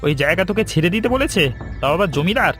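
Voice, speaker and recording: A man playing a high-voiced, protective village uncle. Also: animated, very fast, a bit bright, soft background music. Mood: concerned, firm. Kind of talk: cartoon folk-tale acting.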